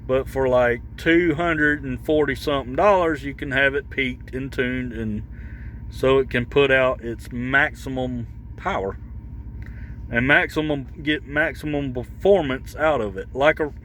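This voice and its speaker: Slow, rambling man over vehicle noise. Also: medium pitch, a bit bright. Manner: halting, rambling, searching for words. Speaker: vlogger showing his CB radio. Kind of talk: casual unscripted product talk.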